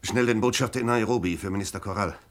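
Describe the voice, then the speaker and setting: An actor in a German radio play playing a curt, commanding and suspicious intelligence chief. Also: male voice, lowish voice, quick pace, lightly acted. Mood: brisk, skeptical. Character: brusque, commanding male secret service chief.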